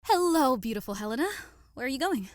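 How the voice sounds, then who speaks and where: A woman playing a devoted young lover in an audio drama, earnest and expressive in a theatrical way. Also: high-pitched, fast, dry recording, clean studio sound. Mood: friendly, earnest. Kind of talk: modernized Shakespeare audio drama acting.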